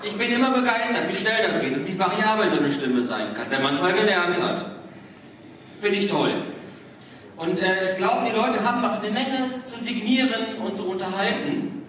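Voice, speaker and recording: A man hosts a stage panel in a casual way. His voice is fairly high and rings through a big, echoey hall. There is faint noise behind him. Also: expressive, moderate pace, fairly bright tone. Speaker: convention panel moderator. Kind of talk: casual live stage moderating. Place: large indoor stage hall. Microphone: handheld wireless mic, distant recording. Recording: echoey hall, distant and noisy.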